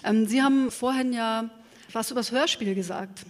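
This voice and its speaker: A woman's voice: fairly high pitch, normal speed. A woman moderating an interview, putting long, articulate, considered questions with some hesitation. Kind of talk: prepared interview questions, spoken live. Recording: long hall reverb.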